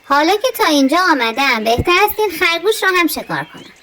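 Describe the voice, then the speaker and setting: A woman voicing an opportunistic male hunter in a children's storybook, in an expressive manner. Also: sits high, voice pushed up, full character voice, low-quality recording. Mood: pleased, greedy. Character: opportunistic male hunter, timid when threatened.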